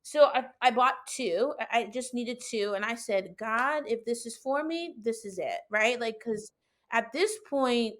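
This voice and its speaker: Woman, high-pitched, casual, colloquial, animated storyteller, podcast conversation. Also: a little slow. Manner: animated, colloquial, acts out own quoted reactions. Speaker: podcast guest telling own experience. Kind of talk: casual chat, personal storytelling.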